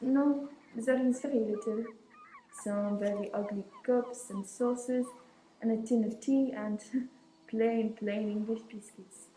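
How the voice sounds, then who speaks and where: A teenage girl playing a shy young French woman with a French accent, speaking slow, careful broken English. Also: a little high, fairly even intonation, dark tone, reverberant, a little hiss or hum, rough recording. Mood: polite. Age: teens. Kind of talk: school radio play.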